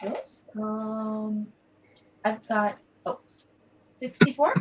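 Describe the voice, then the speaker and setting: A woman's voice: mid-range voice, drawn-out words, lo-fi sound, a little hiss or hum. A woman chatting casually as a player in a tabletop roleplaying game.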